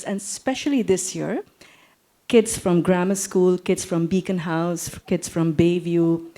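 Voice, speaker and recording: Emphatic woman with a mellow voice. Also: mid-range voice. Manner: emphatic, repeats phrases, mixes English and Urdu. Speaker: visiting academic and panelist. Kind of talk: impassioned panel discussion.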